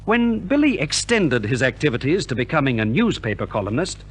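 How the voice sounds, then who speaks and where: A man's voice: medium pitch, big pitch swings, brisk, slight background noise. A man from Australia narrating as a formal, theatrical compere in 1950s scripted radio. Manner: formal, theatrical announcer delivery. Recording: 1950s radio transcription recording.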